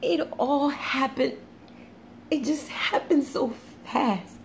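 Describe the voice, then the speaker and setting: Woman acting anguished grieving mother, high-voiced. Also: gravelly, a little hiss or hum, exaggerated voice. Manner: halting, anguished, dramatic. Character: grieving, unhinged mother tormented by guilt. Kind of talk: theatrical dramatic monologue.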